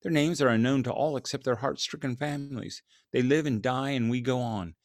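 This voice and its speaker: A man's voice: mid-range voice, fairly quick, no room sound, clean studio sound, pitched above the performer's own voice, close to an everyday voice. A man voicing a brooding, guilt-ridden Victorian writer in an impassioned, lamenting way for a story reading. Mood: grieved, impassioned.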